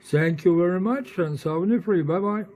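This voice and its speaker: A non-native English-speaking man, an amateur radio operator, chatting in a halting, hesitant way. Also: high-pitched. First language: not English. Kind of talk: casual radio chat. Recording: muffled, narrow-band radio audio with hiss.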